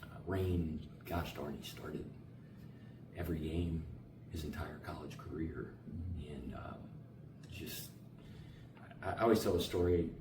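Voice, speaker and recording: A very deep-voiced man, a basketball coach, talks in a loose, energetic, slangy way, repeating words and filling pauses. He sounds set back from the mic in an echoey space, with steady background noise. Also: distant mic. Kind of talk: casual interview answers.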